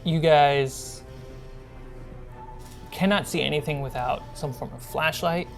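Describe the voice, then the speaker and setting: A woman with a low voice narrates a tabletop game as game master. She takes her time, pausing through each suspenseful description. Soft music plays underneath. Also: creaky. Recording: music bed behind the voice.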